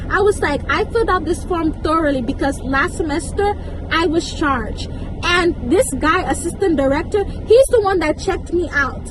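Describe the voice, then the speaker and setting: A young woman with a high voice talks straight to her viewers in a casual storytime rant. She is emphatic and exasperated, repeating phrases for effect. There is clear background noise, like street or car ambience. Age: young adult. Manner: exasperated, emphatic, repeats words and asks rhetorical questions. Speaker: storytime vlogger.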